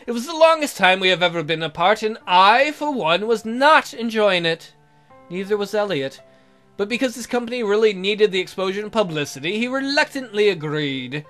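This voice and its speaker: Animated high-pitched man reading aloud. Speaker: let's player, host. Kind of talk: reading a visual novel aloud with joking asides. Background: music.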